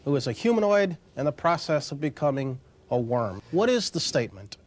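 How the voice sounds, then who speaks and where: A man's voice: on the high side, very animated, a little fast. A man working as an interviewer, putting prepared questions to a guest.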